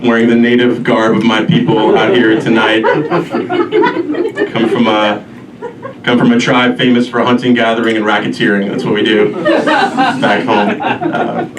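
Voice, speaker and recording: A man from Albania doing a self-deprecating stand-up comedy set, with a deadpan, halting delivery. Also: mid-range voice, a loud crowd. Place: live comedy show before an audience. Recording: roomy echo, distant mic, noisy live venue.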